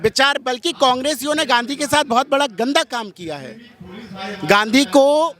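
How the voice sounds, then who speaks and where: A man with a very high, piercing voice gives impassioned interview answers. He is strident and combative, pressing his points with rhetorical questions in a bright, forceful tone. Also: moderate pace.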